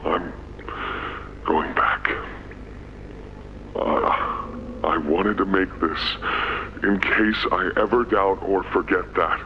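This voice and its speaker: An actor playing a weary, scared but gentle monster leader in a video game, confiding haltingly into a recorder. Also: male voice, low-pitched. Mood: quiet resolve. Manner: halting, hesitant, simple-worded confiding. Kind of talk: video game audio log monologue. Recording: dull, noisy lo-fi tape recording.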